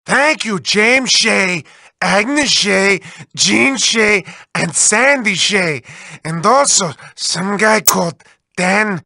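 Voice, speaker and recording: Whiny mad-scientist game villain, very high pitch, wildly swooping intonation, theatrical, volatile, lovelorn. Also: male voice, expressive, drawn-out words, creaky, pushed and bright, no room sound, studio quality, full character voice. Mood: theatrical, sarcastic. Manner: theatrical, volatile, swinging from cooing to wailing. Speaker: video game villain reading developer messages. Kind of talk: exaggerated comic cartoon voice acting.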